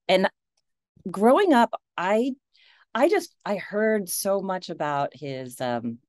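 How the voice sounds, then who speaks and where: Deep-voiced woman on a video call. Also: no room sound, clean studio sound. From United States. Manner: animated, laughs often, many restarts and fillers. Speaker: actress, interview guest.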